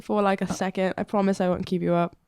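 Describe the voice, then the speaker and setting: A woman's voice: mid-range voice, level tone, quick pace, darkish tone, smooth, dry recording, clean studio sound. A woman playing a tabletop game, speaking casually and a little hesitantly as she improvises. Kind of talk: casual improvised in-character chat.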